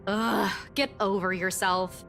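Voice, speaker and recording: A woman playing a stubborn, sharp-tongued but caring office worker in a visual novel game, pointed and exasperated. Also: medium pitch, fairly even intonation, slow pace, creaky, soft background music. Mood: sarcastic.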